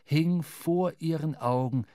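A man's voice: on the high side, dark tone, dry recording. Male radio-play narrator, dry close sound. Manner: measured, dramatic storytelling.

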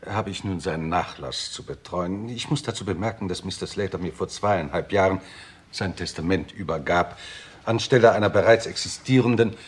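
Low, husky, formal lawyer. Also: male voice. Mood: formal, polite. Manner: formal, precise, deliberate. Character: formal, courteous male lawyer. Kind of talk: German crime radio play dialogue.

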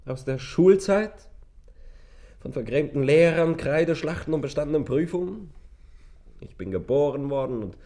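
Gravelly, mellow, world-weary lover. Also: male voice, fairly high pitch, animated, measured pace, long pauses. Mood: evasive, wry. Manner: ironic, eloquent, evasive. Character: world-weary male lover, cynical and evasive. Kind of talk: German radio drama acting.

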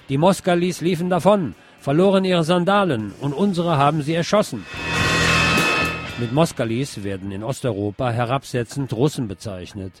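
A man's voice: medium pitch, darkish tone. A man reads scripted news commentary aloud as a presenter. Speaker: podcast presenter reading press articles.

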